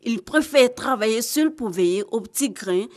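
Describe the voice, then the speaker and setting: A woman giving scripted news narration as a reporter, in a measured, formal delivery. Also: fairly high pitch, animated.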